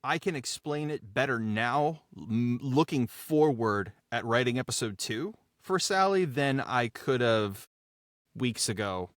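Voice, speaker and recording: A man's voice: mid-range voice, lively intonation, measured pace, dry recording, clean studio sound. A man chatting casually and enthusiastically as a podcast creator, effusive and repeating phrases for emphasis. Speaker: show creator and writer. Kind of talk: casual behind-the-scenes podcast talk.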